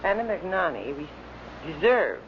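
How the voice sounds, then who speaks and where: A man's voice: on the high side, very animated, measured pace. A man reading a scripted report as a radio announcer. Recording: dull, faintly noisy vintage radio recording.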